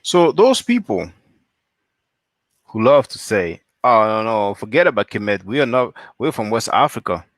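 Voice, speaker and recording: A man's voice: lowish voice, sing-song, moderate pace, dry recording. A man from France hosting a solo live stream, reading viewer comments and asking pointed rhetorical questions.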